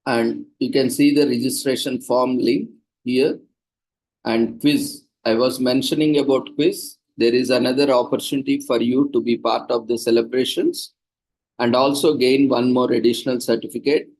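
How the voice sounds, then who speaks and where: Man, husky voice, patient instructions, video call audio. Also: medium pitch, steady tone, moderate pace, darkish tone, low-quality recording. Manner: patient, instructive, plain and practical. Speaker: seminar organizer. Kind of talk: explaining procedures on a video call.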